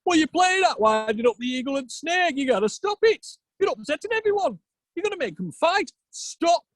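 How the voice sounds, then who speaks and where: A man's voice: very high voice, big pitch swings, brisk, dry recording, pitched above the performer's own voice. A man voicing a bossy, exasperated Viking god king from a storybook, in a scolding manner.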